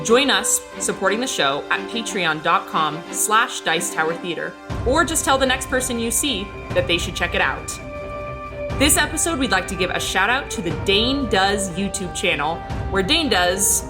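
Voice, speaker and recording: A woman giving a chatty, lightly wry host intro to an audio drama, over a music bed. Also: medium pitch. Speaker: host and player. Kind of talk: casual show intro.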